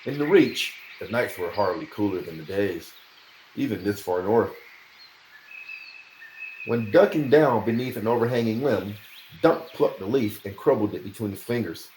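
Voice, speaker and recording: A man reading a fantasy story aloud as an amateur narrator, with a dramatic, expressive delivery. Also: on the low side, moderate pace. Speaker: amateur audiobook reader. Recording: roomy echo, faint background noise.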